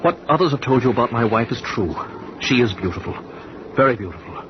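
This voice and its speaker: An actor in 1940s radio drama playing a tormented, jealous young male artist who stammers and swings between brooding and shouting. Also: mid-range voice, expressive, fast, lightly acted. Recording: hissy lo-fi old radio recording.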